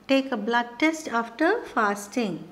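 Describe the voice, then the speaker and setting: A middle-aged woman teaching a beginners' lesson at a whiteboard, explaining terms slowly and checking in with frequent "okay". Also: on the high side, lively intonation, fairly bright tone. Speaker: English teacher. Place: studio classroom with whiteboard.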